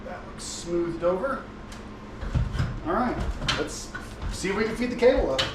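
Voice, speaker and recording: A man with a slightly high voice narrates a hands-on install job in a casual way. He talks through each step informally as he works. The sound is roomy and echoey, with clear noise in the background. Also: lively intonation, a little slow. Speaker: DIY vlog host and installer.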